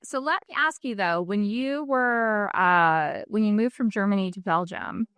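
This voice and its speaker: A woman's voice: medium pitch, measured pace, fairly bright tone, clean tone, no echo. A woman hosting a podcast interview, asking curious, friendly follow-up questions in a casual way.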